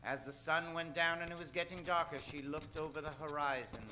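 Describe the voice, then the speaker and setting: A man narrating a comedic old-time radio play with theatrical delivery and dramatic pauses. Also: on the high side, steady tone, background noise.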